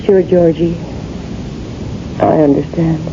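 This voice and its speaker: A woman's voice: low voice, fairly even intonation, slow pace. An actress playing a lonely, nagging housewife and mother in a radio drama, fussing and plaintive. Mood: plaintive, resigned. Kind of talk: 1970s radio drama acting. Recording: dull, noisy old radio recording.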